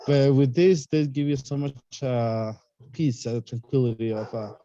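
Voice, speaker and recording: A man on a video call talking about financial freedom from investing, pausing often to search for words. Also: medium pitch, dark tone, dry recording, lo-fi sound. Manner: searching for words, many fillers. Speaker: real estate investor guest. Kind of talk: casual reflective talk on a video call.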